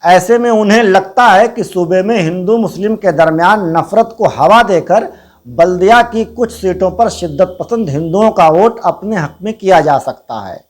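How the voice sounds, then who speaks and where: A man's voice: high-pitched, normal speed. A man delivering scripted political commentary in a formal, rhetorical, pointed manner. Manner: rhetorical, pointed questioning, formal Urdu-laced wording. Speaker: political commentary channel host.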